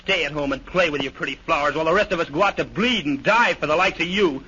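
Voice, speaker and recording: Sneering young bully, sharp high voice. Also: male voice, fast, husky. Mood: scornful. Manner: sarcastic, taunting. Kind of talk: 1930s radio acting. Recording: dull, noisy 1930s radio recording.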